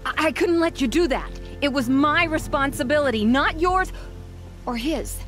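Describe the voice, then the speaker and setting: High, exasperated young huntress. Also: female voice, moderate pace, a bit bright. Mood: flustered. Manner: argumentative, snappy. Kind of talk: video game voice acting. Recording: game music behind the voice.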